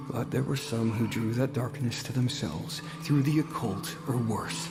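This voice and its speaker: A man playing a weary, wry demon hunter with a gravelly voice and a measured, understated delivery in a dramatic motion comic. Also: medium pitch. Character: weary, wry scarred demon hunter, burdened by his past.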